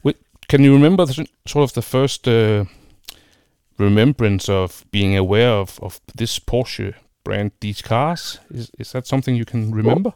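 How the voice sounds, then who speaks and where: A man's voice: mid-range voice, animated, moderate pace, a little mellow, clean tone, no echo, studio quality. A man hosting a casual interview, feeling his way through questions with hesitant, repeated phrasing. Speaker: podcast host.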